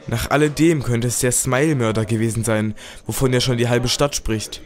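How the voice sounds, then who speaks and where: Jealous young baron, male actor, mid-pitched, scornful and menacing, audio drama rival. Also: steady tone, normal speed, lightly acted. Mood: curt. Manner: snide. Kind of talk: German amateur audio drama dialogue.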